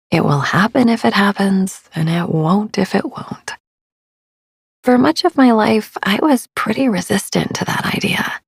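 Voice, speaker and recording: Breathy, creaky woman guiding sleep meditation. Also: medium pitch, dry recording, studio quality. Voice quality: breathy, husky with vocal fry. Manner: soothing, hushed, often near-whispered, drawn-out pauses. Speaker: podcast host, meditation guide. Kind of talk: guided sleep meditation narration. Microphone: close studio microphone, dry.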